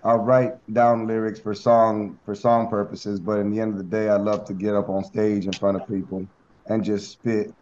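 A man with a fairly low voice tells stories in an easygoing, unhurried way, filling gaps with ums and you-knows. He comes through a dull, lo-fi conference call line. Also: a little hiss or hum. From United States. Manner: relaxed, easygoing storytelling with fillers. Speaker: guest rapper. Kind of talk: casual interview on a conference call.

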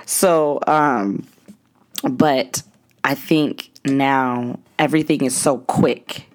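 A woman's voice: extremely low pitch, fairly even intonation, drawn-out words. A woman in her twenties giving a casual, reflective motivational monologue, earnest and emphatic, repeating phrases for stress. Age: 20s.

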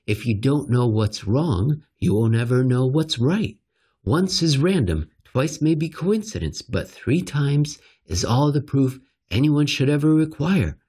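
A man reading a scripted economics commentary aloud as narrator, with an emphatic, rhetorical delivery and deliberate pauses. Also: medium pitch, a little mellow, breathy edge.

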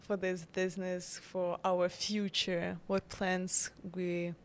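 A woman in her twenties from Ukraine, with a Ukrainian accent, talking as a guest in a casual interview, halting and full of fillers. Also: on the low side, monotone, slight background noise. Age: 20s. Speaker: guest, bakery founder.

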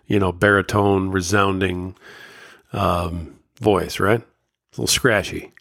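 A man's voice: sits low, flat delivery, slow pace, gravelly, a bit bright, no echo, studio quality. Man with a slightly scratchy voice. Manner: candid, conversational, motivational. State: slightly scratchy from a summer cold. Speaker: podcast host. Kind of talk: solo podcast monologue.

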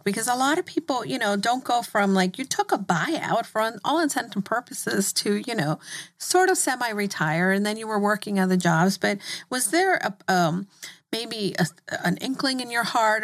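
Supportive woman interviewer. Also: mid-range voice. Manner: supportive, many affirming murmurs. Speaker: interviewer host. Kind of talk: casual interview conversation.

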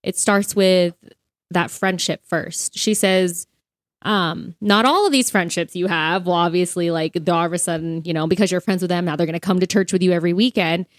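Low-voiced woman, dry close podcast sound. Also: steady tone, normal speed, dry recording. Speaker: podcast co-host. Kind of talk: casual conversational faith talk.

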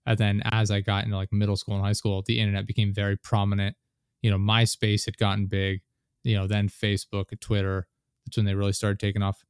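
Man in his late twenties, podcast host, low voice, dry close sound, loose rambling talk. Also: darkish tone, clear, resonant tone, dry recording. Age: 20s. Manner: rambling, many fillers and restarts, laughs often. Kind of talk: casual podcast chat.

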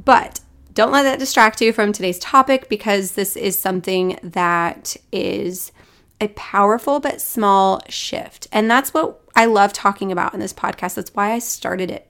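A woman's voice: medium pitch, clear, resonant tone. A woman hosting a solo podcast in a casual, upbeat and encouraging way, still a little congested and scratchy from a recent cold. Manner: upbeat and encouraging, with frequent fillers. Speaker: podcast host.

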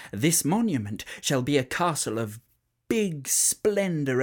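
Reader doing a boastful storybook king: raised, lively pitch, grandiose and vain. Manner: grandiose, makes up grand words. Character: pompous boastful island king.

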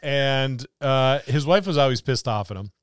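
A man's voice: medium pitch, lively intonation, normal speed, clean tone, no room sound. A man co-hosting a podcast, chatting casually about tabletop roleplaying in a rambling, jokey way. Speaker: podcast co-host.

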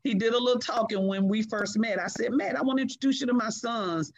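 A middle-aged woman speaking as a guest in a conversation, earnest and emphatic, measured in her delivery. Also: a little high, no room sound, lo-fi sound. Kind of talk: reflective conversation.

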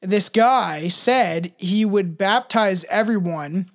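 Casual co-host, middle pitch, rambling profane storytelling with restarts. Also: slow pace. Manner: rambling, with false starts and mocking put-on quotes. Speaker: podcast co-host telling a history story.

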